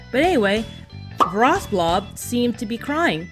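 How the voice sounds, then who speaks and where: A giggly woman on a fan recap video, chatting away like she's telling friends about an episode, loud music under her. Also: a little high, big pitch swings, slow. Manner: casual and conversational, giggly.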